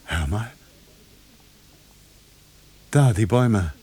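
An actor in a German radio drama playing an earnest, troubled, brooding journalist. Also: male voice, fairly low pitch, animated, drawn-out words, mellow, slightly rough, no echo, heavily acted. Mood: uneasy wonder. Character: troubled, brooding male journalist, frustrated and haunted.

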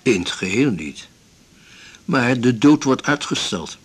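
An actor playing an elderly, blunt, resolute widowed seaman in a radio drama, speaking in a measured, formal, philosophical way. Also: mid-range voice, lively intonation, slightly rough. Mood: bitter, resigned. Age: 70s or older. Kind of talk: 1980s Dutch radio drama acting.

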